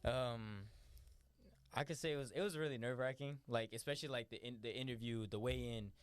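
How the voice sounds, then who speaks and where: A young man, a boxer, chatting casually in an interview, relaxed and rambling with lots of "like" and "you know". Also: mid-range voice, a little fast, clean tone, no room sound. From born in New York, raised in Florida. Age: young adult. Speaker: professional boxer, interview guest.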